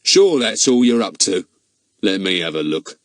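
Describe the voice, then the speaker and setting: A man's voice: low-pitched, big pitch swings, measured pace, no echo, studio quality. A man doing a surly, grasping gatekeeper. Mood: suspicious. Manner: gruff, grumbling, rough. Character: surly, grasping middle-aged turnstile keeper. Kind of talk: audiobook character voice.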